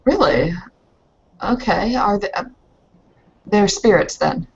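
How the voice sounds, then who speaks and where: A woman speaking haltingly and casually as a player in a tabletop roleplaying game, on a dull recording. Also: medium pitch, lively intonation, gravelly, slightly rough.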